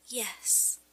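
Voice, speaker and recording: A woman playing a troubled, defensive schoolgirl in a radio drama, with a sullen, halting, emotional delivery. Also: bright, forceful tone, a little hiss or hum. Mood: afraid, halting. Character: troubled, defensive schoolgirl daughter afraid for her sister.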